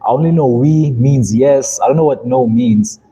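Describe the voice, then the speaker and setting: A man's voice: mid-range voice, normal speed, mellow, breathy edge, low-quality recording. A young man from South Africa, whose first language is Zulu, chatting casually and rambling on a live stream, often saying "man". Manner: laid-back, rambling, says "man" often.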